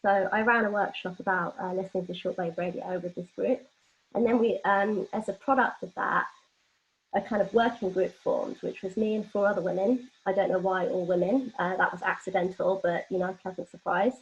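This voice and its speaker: A young woman leading an informal online workshop, explaining things in a hesitant way with pauses mid-phrase. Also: mid-range voice, steady tone. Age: young adult. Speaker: workshop leader. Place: online video call from home. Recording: dull lo-fi online call audio.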